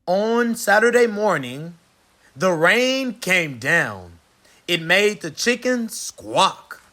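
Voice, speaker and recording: A man reads a children's picture book aloud with animated, expressive storytelling. His voice sits high and swoops freely, bright and forward. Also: slow pace. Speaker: read-aloud host.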